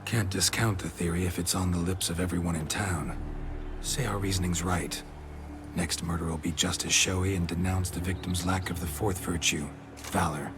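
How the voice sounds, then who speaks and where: An actor playing a stoic, world-weary monster hunter in a video game, dry and deadpan, in clipped sentences. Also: male voice, low voice, normal speed, lightly acted. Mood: analytical. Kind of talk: video game cutscene acting. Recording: music behind the voice.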